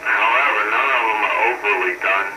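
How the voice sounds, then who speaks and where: A man's voice: on the low side, normal speed. A middle-aged man chatting casually over two-way radio in operator lingo, with an easygoing, folksy manner. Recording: distant, very noisy two-way radio signal.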